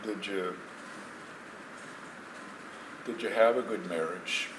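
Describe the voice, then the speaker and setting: An actor on stage playing an elderly, regretful man with a tender longing for lost love, speaking in a halting, emotional way. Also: low voice, lightly acted. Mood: subdued, sorrowful. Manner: halting, emotional, repeating words. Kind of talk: stage play acting. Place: theatre stage, distant mic. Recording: echoey stage from far off, noisy.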